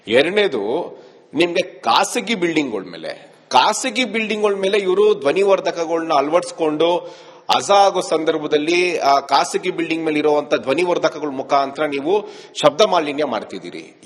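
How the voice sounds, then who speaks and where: A man's voice: a little high, long reverb tail. A man with a Karnataka accent giving an emphatic, accusatory statement to a reporter, repeating his phrases. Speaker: activist leader being interviewed. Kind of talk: spoken statement to a news reporter.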